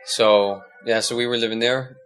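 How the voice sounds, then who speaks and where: A man's voice: medium pitch, steady tone, normal speed, fairly bright tone. A young Danish-born man as a podcast guest, talking in a loose, hesitant way with many restarts. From Denmark. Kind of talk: casual conversation.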